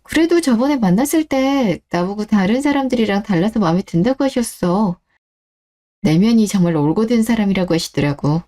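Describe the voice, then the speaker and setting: A self-assured young bride-to-be played by a woman speaks with a mellow tone at a middling pitch. She is composed and direct, delivering radio-drama lines in a matter-of-fact way. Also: lively intonation, a little fast, lightly acted. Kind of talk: scripted story-radio drama.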